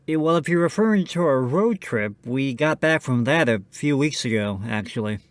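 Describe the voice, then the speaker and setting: A man's voice: medium pitch, animated, moderate pace, no room sound. A man hosting a radio show in scripted comedic banter, playing the polite, slightly hesitant straight man.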